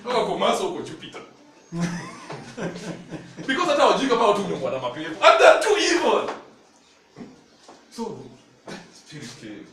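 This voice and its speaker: A man's voice: high voice, normal speed, long pauses. A man with a Kenyan accent, a Luhya native speaker, preaching a sermon in a church and telling stories animatedly with frequent laughter. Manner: animated storytelling, laughing often. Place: church hall. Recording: very echoey hall, from far off, noisy.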